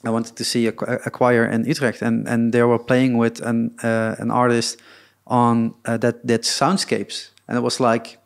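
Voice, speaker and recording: A man's voice: mid-range voice, normal speed, creaky. Chatty male interview host. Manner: relaxed, chatty, stumbling over words. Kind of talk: casual interview chat.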